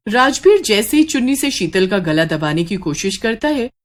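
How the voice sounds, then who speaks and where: A woman reading scripted horror narration as a dramatic, measured storyteller. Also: mid-range voice, sing-song.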